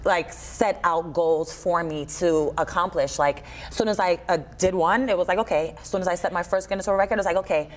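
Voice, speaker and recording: A woman in her thirties speaks as a guest on a daytime TV show. Her voice sits a little low. She talks casually and with animation, in an informal, conversational way, close-miked in a studio. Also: brisk, few pauses. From Trinidad and Tobago and Buffalo, USA. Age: 30s. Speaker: guest, limbo performer. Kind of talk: casual TV interview. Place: TV studio kitchen set, indoors. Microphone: lapel mic.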